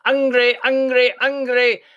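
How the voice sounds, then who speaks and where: Shrill, hollering giant baby. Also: male voice, flat delivery, slow pace, sharp tone, clear, resonant tone, dull audio, full character voice. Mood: demanding. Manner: hollering, demanding. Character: huge greedy baby, a disguised giant man.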